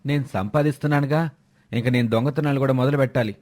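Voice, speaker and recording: A mid-pitched village milk seller, acted by a man in a storybook moral tale. He is greedy and defensive, forever complaining. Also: fairly even intonation, fast, a little mellow, close to an everyday voice. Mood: grumbling.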